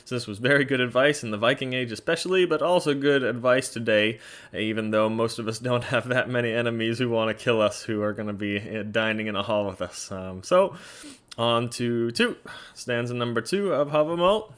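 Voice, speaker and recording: A man's voice: mid-range voice, a little fast, a bit bright. Chatty Norwegian man explaining casually. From Norway. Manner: chatty, blunt, laughs often, many fillers. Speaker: educator presenting a series.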